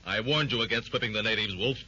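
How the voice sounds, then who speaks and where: An actor playing a stern, commanding jungle hero in a 1930s radio serial. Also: male voice, fairly low pitch, quick pace, sharp tone, slightly rough, full character voice. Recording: dull old radio recording with faint hiss.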